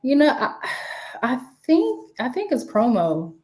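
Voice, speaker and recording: Mellow-voiced woman in her twenties. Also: sits high, measured pace. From DC area. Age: 20s. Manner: relaxed, chatty, many fillers and restarts. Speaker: guest, sports media writer. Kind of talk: casual interview talk.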